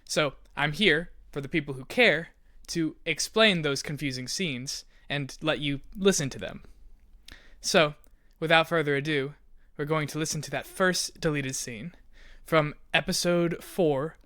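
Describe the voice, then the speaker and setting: A man's voice: on the high side, unhurried, bright, forceful tone, no echo, clean studio sound. A man hosting and explaining to listeners in a conversational, playful way with theatrical emphasis. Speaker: host, writer and creator of an audio drama.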